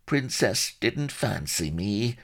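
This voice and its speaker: A shifty old castaway with a West Country burr, pitched up high and swooping about. He rambles and wheedles like a storybook yokel, whimpering in thick dialect. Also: lively intonation, slow pace, gravelly, exaggerated voice. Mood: shifty, defensive. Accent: English West Country.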